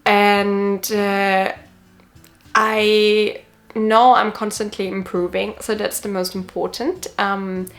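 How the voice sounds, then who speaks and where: A young woman talks to camera in an easygoing, chatty way. She fills pauses with ums and yeahs and often restarts her sentences. Her voice sits at a middle pitch for a woman. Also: soft background music. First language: not Swedish; Swedish is her sixth language. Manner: relaxed, chatty, many fillers and restarts. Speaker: vlogger. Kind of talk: casual talk to camera.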